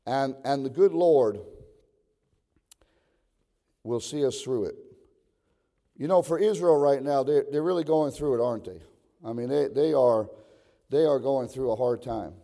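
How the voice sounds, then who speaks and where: Older male preacher in a reverberant hall. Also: medium pitch. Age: 60s. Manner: emphatic, swings from conversational to shouted exhortation. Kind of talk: sermon. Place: large reverberant room, preaching to a congregation.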